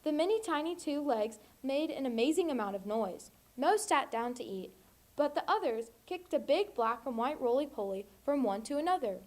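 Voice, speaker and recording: A woman's voice: high-pitched, lively intonation, moderate pace, a little mellow. A teenage young woman reading a children's storybook aloud. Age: teens. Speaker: volunteer storybook reader.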